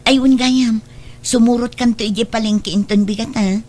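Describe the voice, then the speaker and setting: A woman's voice: on the high side, steady tone, normal speed, darkish tone, a little hiss or hum, natural voice. A woman playing a trusting, devoted adult daughter in a radio drama, speaking earnestly and reassuringly.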